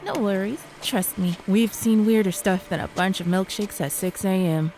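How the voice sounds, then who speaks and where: An actress playing a casual, unfazed young fast-food server in an audio drama, politely serving a customer. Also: female voice, mid-range voice, a little mellow, lightly acted, poor audio. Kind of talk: naturalistic audio drama acting.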